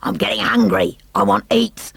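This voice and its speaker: A woman voicing a greedy, bossy male creature living in a child's tummy, speaking in a harsh rasping whisper. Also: medium pitch, fairly even intonation, moderate pace, fairly bright tone, no room sound, full character voice. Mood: demanding.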